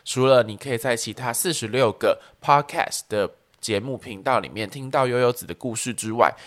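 A man's voice: mid-range voice, normal speed. A man with a Taiwanese accent hosting a podcast, explaining things in a casual way. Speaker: podcast host.

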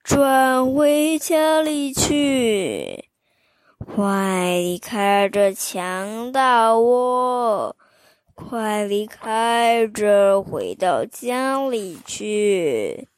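A woman voicing a small caged bird that warns a bride in a slow, drawn-out chant. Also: a little high, no echo. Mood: urgent warning. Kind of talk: children's storytelling.

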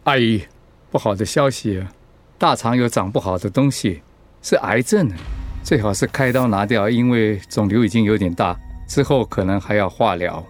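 An actor playing a hospital doctor in a radio drama, matter-of-fact and cautioning. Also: male voice, on the low side, normal speed, soft background music, natural voice. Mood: grave, advising. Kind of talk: Mandarin radio drama acting.

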